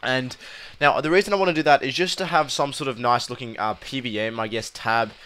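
A young man with a raspy voice from a cold, rambling casually with many ums and restarts as he comments over his gameplay. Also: mid-range voice, a little fast, fairly bright tone. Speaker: gaming video host.

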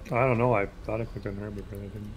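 A man's voice: on the low side, steady tone, quick pace, soft background music. A man on voice chat, teasing and questioning friends while playing a game. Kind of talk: casual gaming chat.

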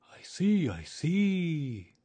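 A man voicing a small, humble, slow-witted bear from a children's storybook, speaking simply and earnestly. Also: fairly high pitch, big pitch swings, warm tone, voice pushed up, full character voice. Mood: dreamy, hungry.

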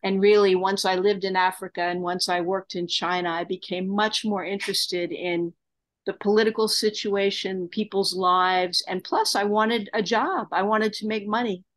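Older woman, interview talk, medium pitch, video call mic. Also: fairly even intonation, normal speed. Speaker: journalist interviewee. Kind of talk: interview talk about career. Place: home office, video call. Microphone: computer on video call.

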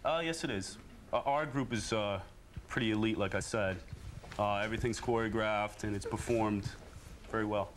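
A man's voice: lowish voice, moderate pace, a little hiss or hum. A man in his thirties answering questions on a talk show stage, laid-back and a bit hesitant. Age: 30s. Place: TV studio stage.

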